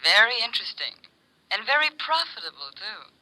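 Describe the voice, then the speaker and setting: An actress playing a cool, teasing, scheming femme fatale over the telephone in a 1950s radio drama. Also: female voice, mid-range voice, big pitch swings, crowd noise, poor audio. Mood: coy, mocking. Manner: coy, mocking, drawn-out.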